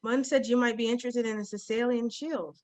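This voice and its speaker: An earnest orc messenger from a comic fantasy script, read by a woman. The voice sits fairly high and sounds insistent. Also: fairly even intonation, fairly quick, darkish tone, close to an everyday voice.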